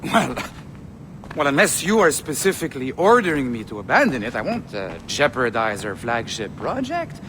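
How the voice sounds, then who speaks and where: A man's voice: mid-range voice, sing-song, moderate pace, background noise, natural voice. A man playing a breezy company executive in a video game, with a Québécois French accent and enthusiastic business talk. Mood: enthusiastic, persuasive.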